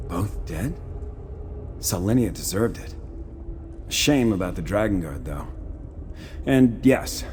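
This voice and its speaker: A man's voice: on the low side, darkish tone, close to an everyday voice. A man playing a confident, courteous soldier ally in a fantasy video game, articulate and earnest.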